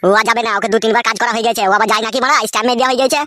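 A man's voice: extremely high pitch, flat delivery, very fast, pushed and bright. A man voicing a brazen, irritable village husband in a comedy cartoon, bickering crudely in a rural West Bengal accent. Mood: brazen, annoyed. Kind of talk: comedy cartoon dub, quarrelling.

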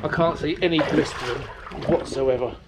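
A man's voice: on the high side, normal speed, gravelly. A man in his forties casually narrating his boat work to camera, thinking aloud with fillers, recorded on an action camera. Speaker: sailing vlogger. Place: on the water by a boat hull, then in a workshop. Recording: faint outdoor background noise.

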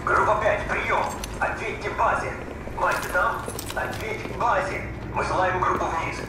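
Insistent enemy dispatcher, high voice, radio effect, military game, very noisy background. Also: male voice, a little slow. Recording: radio voice under loud ambience.

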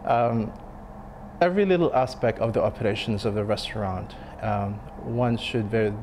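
A man in his thirties, a restaurant chef, speaking in a sit-down interview, thoughtful and halting with many fillers and restarts. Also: fairly low pitch, darkish tone, a little hiss or hum. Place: dim restaurant dining room. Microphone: lapel mic.

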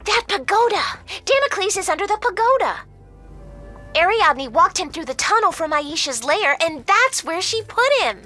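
An excitable young woman agent in a video game. Her voice sits high and jumps around as she eagerly explains what she has worked out. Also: moderate pace, faint music behind, full character voice. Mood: excited.

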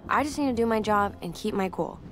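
A young woman playing a spirited expedition filmmaker, confiding in a casual, expressive diary for a video game. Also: medium pitch, fairly quick, slight background noise, lightly acted. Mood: exasperated, confiding. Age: young adult. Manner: conversational, candid, expressive.

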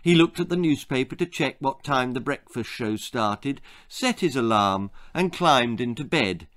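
A man in his sixties with a British accent reading his own children's story aloud in a theatrical, deliberate, suspenseful manner. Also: mid-range voice, expressive, a bit bright. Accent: British English. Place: small book-lined room indoors.

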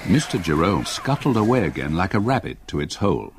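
An actor playing an older English solicitor narrating his memories in a radio drama, in a measured, expressive literary style. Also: sits low, a little hiss or hum. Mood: wry, reflective. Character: older solicitor recalling his haunted past.

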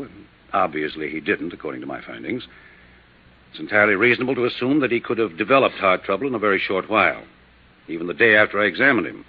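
A man's voice: deep voice, breathy edge, heavily acted. An American man acting an irritable, overworked doctor in his late thirties, curt and defensive, in a 1950s radio drama. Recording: muffled lo-fi 1950s radio recording.

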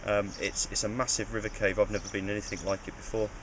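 A man's voice: fairly low pitch, level tone, fast talker, noisy background. A man describing a cave passage, hesitant and repeating his words. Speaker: cave diver. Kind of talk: interview recap.

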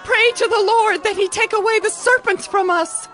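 A frightened, penitent Israelite woman in a biblical audio drama. Her voice is piercingly high and pleading. A crowd is faintly heard behind her. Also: normal speed, a bit bright. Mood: desperate pleading. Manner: desperate, pleading.

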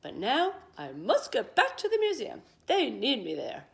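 A woman's voice: high-pitched, huge pitch swings, measured pace, creaky, fairly bright tone, exaggerated voice. A woman voicing a friendly, agreeable male dinosaur from a storybook in a courteous, cheerful manner. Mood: cheerful, fond. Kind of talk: children's storybook read-aloud.

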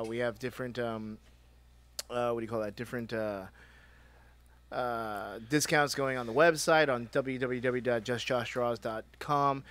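A man's voice: mid-range voice, a bit bright, clear, resonant tone. A man co-hosting a live stream in a casual, rambling way, with many fillers. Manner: rambling with fillers, laughs often. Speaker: stream co-host.

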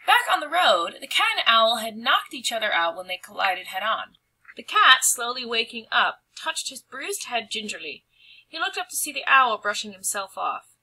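A woman's voice: fairly high pitch, sing-song, normal speed, sharp tone, husky, rough recording. A woman reading a picture book aloud as a storyteller, with dramatic, emphatic phrasing.

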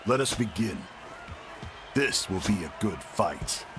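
A man playing a calm, honorable martial arts fighter in a video game, measured and formal. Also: fairly low pitch. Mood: calm resolve. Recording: loud game music underneath.

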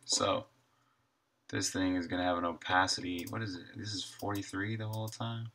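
A man's voice: deep voice, flat delivery, moderate pace, sharp tone, slight background noise. A man in his thirties giving casual think-aloud commentary while he works, half to himself in short fragments, as a game developer streaming.

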